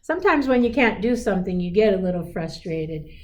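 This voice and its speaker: A woman reading a children's picture book in an expressive, encouraging manner, with chatty asides to young listeners. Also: medium pitch, fairly quick, lo-fi sound. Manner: encouraging, explains pictures and asks listeners questions; expressive, emphatic storybook delivery. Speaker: school superintendent reading to children.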